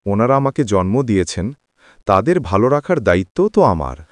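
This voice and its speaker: A man plays a devoted young husband and son in a cartoon moral story. His delivery swings widely in pitch and is earnest and pleading. The recording is close and dry. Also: big pitch swings, quick pace, no room sound. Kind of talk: dramatized cartoon voice acting.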